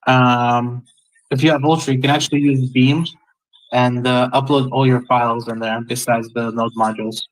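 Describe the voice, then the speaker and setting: Man, casual chat, hesitant, lots of ums, mid pitch. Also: flat delivery, normal speed, poor audio. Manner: hesitant, thinking aloud with many ums.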